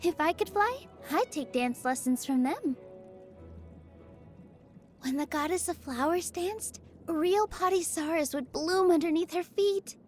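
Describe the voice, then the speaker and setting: Sweet young dancer girl from a video game, really high voice, gentle n earnest, music behind. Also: lively intonation, a little slow. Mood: dreamy, admiring. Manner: sweet, earnest, easily flustered. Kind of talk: video game character voice lines.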